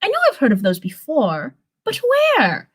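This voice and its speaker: A woman's voice: mid-range voice, huge pitch swings, moderate pace, husky. A young woman hosting a livestream, moving between reading a story aloud and rambling, informal chat in which she often corrects herself. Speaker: livestream host reading fan fiction.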